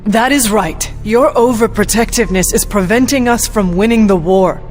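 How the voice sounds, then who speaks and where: A woman's voice: mid-range voice, normal speed, darkish tone, faint music behind, lightly acted. A woman playing an earnest, determined young warrior leader who speaks formally and firmly in a video game. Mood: defiant. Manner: formal, avoids contractions. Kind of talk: video game cutscene acting.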